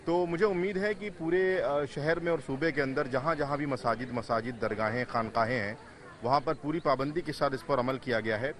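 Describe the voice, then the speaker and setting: A man speaking as an interviewed spokesman, measured and formal, pausing hesitantly. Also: mid-range voice, a bit bright. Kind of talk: spontaneous news soundbite.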